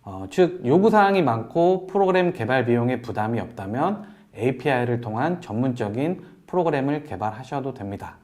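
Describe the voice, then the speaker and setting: A man presenting a software tutorial in a polite, explanatory way, walking through each step as an instructor. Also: medium pitch, animated, brisk, hardly any pauses. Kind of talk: software tutorial narration over screen demo.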